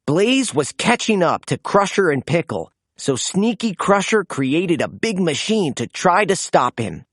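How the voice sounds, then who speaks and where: A woman reading a children's storybook aloud as narrator, in an expressive read-aloud style. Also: fairly low pitch, unhurried, breathy edge, no room sound, studio quality. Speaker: storybook reader.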